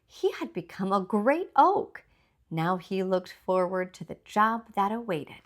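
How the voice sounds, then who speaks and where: A woman reading a children's storybook aloud as its author, with deliberate, expressive scripted narration. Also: medium pitch.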